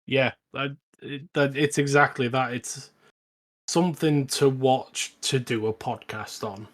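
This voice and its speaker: A man's voice: mid-range voice, fairly even intonation, measured pace, fairly bright tone. A man co-hosting a podcast, chatting casually about films. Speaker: podcast co-host. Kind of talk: casual film chat.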